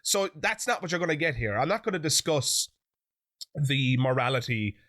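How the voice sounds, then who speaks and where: A man's voice: fairly high pitch, big pitch swings, moderate pace, fairly bright tone. Male host, chatty direct-to-camera commentary, chesty and sniffly from an infection. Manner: chatty, direct address to viewers. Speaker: YouTube channel host. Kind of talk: opinion commentary monologue.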